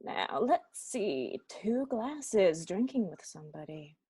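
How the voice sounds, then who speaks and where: A woman acting a brisk, grumbling male homicide captain in a radio detective drama, with terse, businesslike questioning. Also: medium pitch, big pitch swings, dark tone, slightly rough, full character voice. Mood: brisk, probing.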